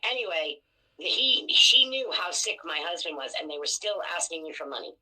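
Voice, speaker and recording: Raspy, forceful, blunt woman. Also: on the low side, very animated, moderate pace, low-quality recording. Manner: blunt, profane, self-deprecating. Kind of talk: casual emotional venting.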